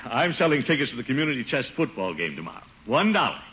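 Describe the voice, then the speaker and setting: An actor playing a pompous, doting middle-aged uncle with a blustery, chuckling delivery in 1950s radio comedy style. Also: male voice, mid-range voice, big pitch swings, fast, sharp tone. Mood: jovial boasting. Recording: muffled, hissy old radio recording.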